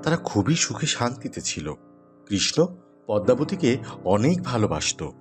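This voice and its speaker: A man's voice: medium pitch, sing-song, unhurried, faint music behind. A man telling a scripted fairy tale as the storyteller. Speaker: storyteller, narrator.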